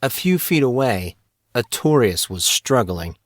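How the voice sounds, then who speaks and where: A man's voice: medium pitch, very animated, a little slow, dry recording, clean studio sound. A man reading scripted fantasy fiction as an audiobook narrator.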